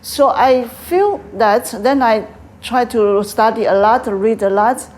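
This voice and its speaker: Older Taiwanese woman in halting English. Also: a little high, a little mellow. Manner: hesitant, halting English with many fillers. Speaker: guest, tea house owner. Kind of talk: interview answers.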